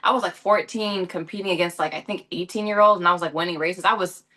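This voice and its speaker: Bright, chatty young woman. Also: on the low side, steady tone, quick pace. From Los Angeles. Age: young adult. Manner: chatty, many fillers and self-corrections. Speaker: actress and guest. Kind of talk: casual interview answers.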